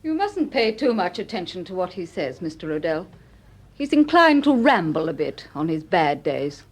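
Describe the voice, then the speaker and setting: Actress as a guarded young woman, lively pitch. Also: normal speed. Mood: guarded, firm. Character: youngish, guarded, protective niece of an ailing colonel. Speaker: actress in a detective audio drama. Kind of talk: dramatic radio acting.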